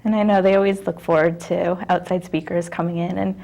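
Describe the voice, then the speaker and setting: Female professor guest, lowish voice, friendly, lots of fillers. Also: flat delivery, a little fast, creaky, warm tone. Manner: friendly, uses many fillers. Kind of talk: casual studio chat.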